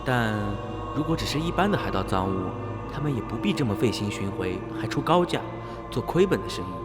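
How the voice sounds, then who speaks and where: A man's voice: mid-range voice, sing-song, brisk, lightly acted. A man playing an inquisitive, politely reasoning young visitor in a radio drama. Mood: curious, skeptical. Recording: hall reverb, loud music underneath.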